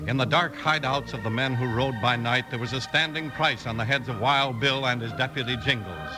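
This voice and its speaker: A man's voice: mid-range voice, a little fast. An American man announcing and narrating a 1950s radio western in a dramatic, scripted style. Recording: old-time radio recording with loud music. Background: loud music.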